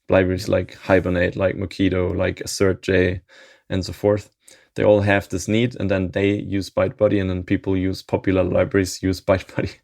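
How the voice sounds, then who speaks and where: A man in his thirties, a software maintainer being interviewed, talking in a thoughtful way and chuckling often mid-sentence. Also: sits low, gravelly, no room sound, clean studio sound. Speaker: open source maintainer, interview guest. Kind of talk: casual interview chat.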